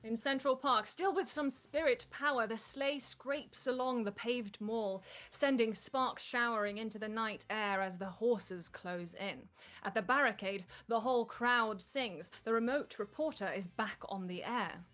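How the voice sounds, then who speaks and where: A woman's voice: a little high, measured pace, fairly bright tone. A woman reading stage directions as the narrator of a live script table read.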